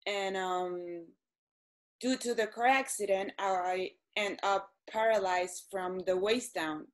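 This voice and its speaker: A woman, answering interview questions hesitantly with many pauses and ums. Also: medium pitch. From Venezuela. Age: 30s. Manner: hesitant, frequent ums and restarts. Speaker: guest.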